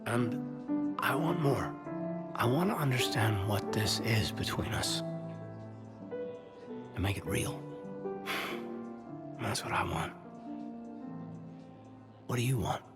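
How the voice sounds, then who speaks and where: A man playing an earnest, sincere soldier in a video game, speaking in a soft, hesitant way. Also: medium pitch, takes it slowly, gravelly, pauses often. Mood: tender, nervous. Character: earnest male soldier, adult, sincere, restless, quietly yearning. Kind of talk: naturalistic video game voice acting. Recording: music behind the voice.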